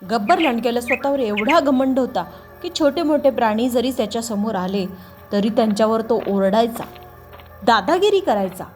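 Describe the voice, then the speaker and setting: High-pitched woman narrating a story. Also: expressive, normal speed, a little mellow, soft background music. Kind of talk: animated children's moral story narration.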